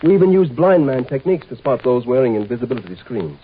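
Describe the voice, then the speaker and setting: An actor playing a shrewd, condescending native agent posing as an imperial marshal, in a 1950s radio drama. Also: male voice, mid-range voice, big pitch swings, quick pace, a little hiss or hum, close to an everyday voice. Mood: smug, explaining. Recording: muffled, tinny 1950s radio recording.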